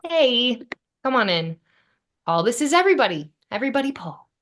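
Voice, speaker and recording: A woman's voice: on the high side, very animated, normal speed, fairly bright tone. A woman acting a bossy, caring, wry young woman in a sitcom table read. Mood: reassuring, friendly.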